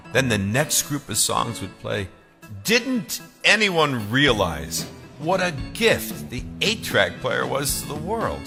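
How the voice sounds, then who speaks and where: A man reading his own novel as a theatrical, emphatic audiobook narrator, with dramatic pauses. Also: mid-range voice, bright, forceful tone. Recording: long reverb, faint music behind.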